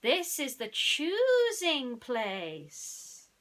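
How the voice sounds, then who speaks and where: A woman voicing little green toy aliens in a bedtime story, awed and easily startled, with drawn-out solemn exclamations. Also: sits high, huge pitch swings, fairly bright tone, voice pushed up, exaggerated voice. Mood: awed, welcoming.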